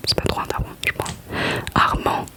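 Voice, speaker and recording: Young girl whispering asmr, soft and breathy, keeps repeating letters, chatty and joking. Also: moderate pace. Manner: playful, chatty, self-mocking. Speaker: ASMR creator.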